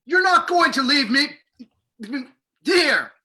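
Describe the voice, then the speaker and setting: Guy doing a desperate tied-up agent in old radio play, high strained bright voice, defiant. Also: big pitch swings, slow pace, heavily acted. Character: defiant, desperate male captive security agent. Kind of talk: old-time radio drama acting.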